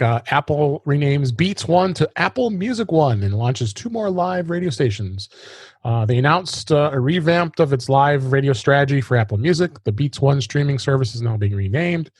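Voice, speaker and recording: A man hosting a tech news podcast, reading items and commenting in a halting way, often repeating words. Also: mid-range voice, dry recording. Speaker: podcast host.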